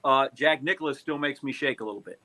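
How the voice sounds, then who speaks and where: A middle-aged or older American man reminiscing casually, in a halting, rambling conversational way. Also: medium pitch, bright, forceful tone.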